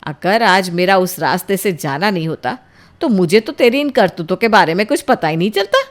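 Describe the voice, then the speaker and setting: A woman voicing a strict middle-aged mother in an audio drama, scolding and threatening in a forceful way. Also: lowish voice, sing-song, moderate pace. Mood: angry scolding.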